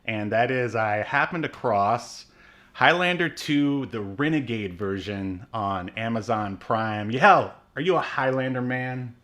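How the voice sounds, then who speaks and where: A man's voice: medium pitch, animated, a little slow, a bit bright. A man in his thirties co-hosting a podcast, chatting casually with lots of fillers and laughs. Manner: rambling, many fillers, laughs often. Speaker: podcast co-host. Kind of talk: casual chat.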